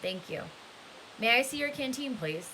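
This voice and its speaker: A woman's voice: on the high side, lively intonation, normal speed, sharp tone, a little hiss or hum, voice pushed up, low-quality recording. A woman reading a storybook part as a commanding camp warden, polite and controlled but threatening. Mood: coolly polite. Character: soft-spoken, menacing, commanding woman prison-camp warden.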